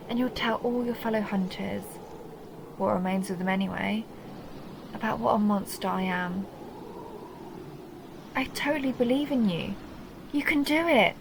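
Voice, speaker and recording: A woman's voice: medium pitch, background noise, lightly acted. A woman playing a seductive, possessive vampire countess who teases and condescends in an intimate audio roleplay monologue. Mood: sarcastic, mocking. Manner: teasing, mocking, intimate purring address.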